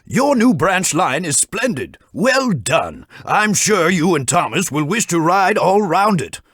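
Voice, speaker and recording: A kindly, proper railway director for a children's game; husky, very lively pitch, dry studio sound. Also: male voice, no echo, full character voice. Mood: approving. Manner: encouraging, formal, patient instruction. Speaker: in-game guide giving instructions. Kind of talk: game voice clips.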